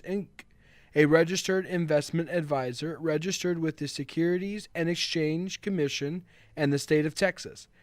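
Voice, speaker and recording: A male announcer reads a scripted sponsor disclaimer. His voice sits a little high for a man, and the sound is dry with no room around it. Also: no room sound.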